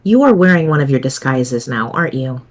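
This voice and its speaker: A person playing a formal, dry, sardonic chat friend in a dramatic webcomic reading, with measured phrasing. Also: medium pitch, expressive, close to an everyday voice. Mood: dry, exasperated.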